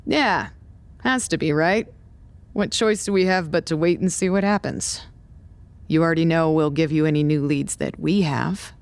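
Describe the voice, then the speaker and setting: A woman acting a guarded, suspicious researcher in an audio drama, measured, dry and skeptical. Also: low-pitched.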